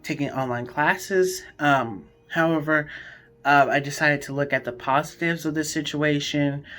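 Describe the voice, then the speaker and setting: An eighteen-year-old university student speaking slowly and hesitantly about self-doubt. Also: medium pitch, steady tone, a bit bright. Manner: hesitant, slow, reflective. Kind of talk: personal testimonial. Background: music.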